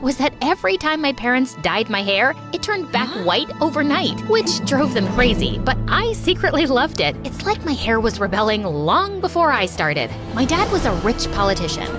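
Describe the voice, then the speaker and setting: A woman's voice: high-pitched, big pitch swings, moderate pace, hardly any pauses. A woman voicing a dramatic, sassy college-age rebellious daughter who tells her own story in a theatrical, sarcastic way. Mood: chatty, cheeky. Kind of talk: animated first-person story narration. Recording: music behind the voice. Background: music.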